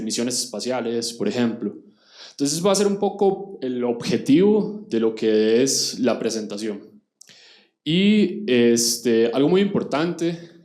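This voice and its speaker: A man's voice: mid-range voice, darkish tone, roomy sound. A man from Costa Rica with a Costa Rican accent, giving an explanatory conference talk directly to his audience. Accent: Costa Rican Spanish. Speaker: conference speaker.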